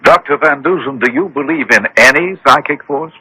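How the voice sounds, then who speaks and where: Nervy rich occult buff in an old radio play, very sharp husky voice, defensive. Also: male voice, medium pitch, normal speed, poor audio. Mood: anxious, insistent. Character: wealthy, anxious, defensive man obsessed with the occult. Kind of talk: 1980s radio drama acting. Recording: old radio drama broadcast.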